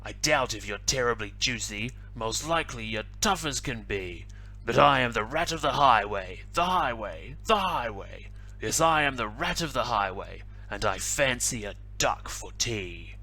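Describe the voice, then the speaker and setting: A man voicing a greedy, bullying highway robber rat in a picture-book reading, shouting his demands. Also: animated, a little slow, slight background noise. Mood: gloating. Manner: loud, blustering demands. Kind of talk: picture-book read-aloud.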